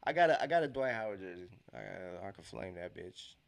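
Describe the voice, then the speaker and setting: A man's voice: sits low, expressive, a little fast, creaky, no room sound. A young man with a congested, nasal voice, casually reacting to a basketball game on a live watch-along stream, slangy and repeating himself.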